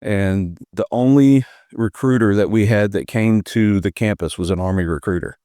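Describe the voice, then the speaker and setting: A low-pitched man in his fifties tells his story as an interview guest, unhurried and plainspoken, with fillers and an easy chuckle. His voice sits close and dry, with no room sound around it. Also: warm tone, no echo. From United States. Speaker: podcast guest.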